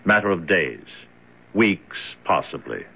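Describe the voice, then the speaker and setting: A cold captor in a seventies radio mystery. His low voice is terse and commanding, with strongly varied intonation, heard through a narrow, tinny old recording. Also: sing-song, takes it slowly, gravelly, slightly rough, heavily acted. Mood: detached, grim. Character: cold, controlled male captor and interrogator. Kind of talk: 1970s radio drama acting.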